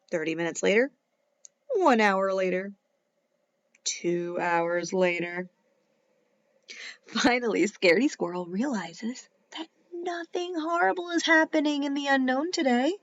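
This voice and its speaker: A woman reading a children's picture book aloud as a teacher would, in a dramatic, playful way with emphatic pauses. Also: on the high side, highs cut off. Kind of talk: expressive children's storybook read-aloud.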